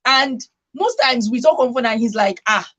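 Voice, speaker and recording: A woman's voice: fairly high pitch, normal speed, fairly bright tone. A woman hosting a radio discussion, speaking emphatically and repeating phrases to drive her points home. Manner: emphatic, repeats phrases for stress, checks with "right?". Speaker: radio talk show host.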